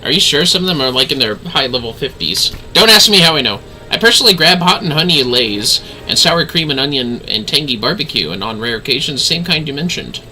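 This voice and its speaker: A man's voice: medium pitch, sing-song, fairly quick, hardly any pauses, bright, forceful tone, slight background noise, poor audio. A man hosting a gaming livestream with casual, easygoing, chatty talk to his viewers. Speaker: livestreaming gamer host.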